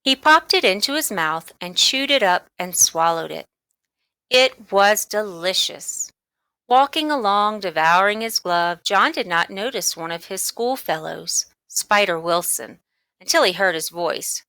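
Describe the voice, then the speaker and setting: A woman's voice: mid-range voice, lively intonation, unhurried, pushed and bright, no echo. A woman narrating a children's storybook as a read-along audiobook.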